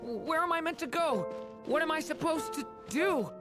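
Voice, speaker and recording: A woman voicing a frightened, weary young boy in a video game, speaking in a halting, earnest way. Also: high-pitched, lively intonation. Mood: lost, confused. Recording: game audio with loud music behind.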